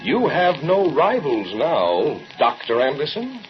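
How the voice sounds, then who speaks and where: An actor playing a grave, accusing moral questioner in an old-time radio drama, with deliberate, probing delivery. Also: male voice, a little high, wildly swooping pitch, soft background music, full character voice. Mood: cool, probing. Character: grave, accusing man challenging a scientist, relentless moral questioner. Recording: muffled, very noisy old radio recording.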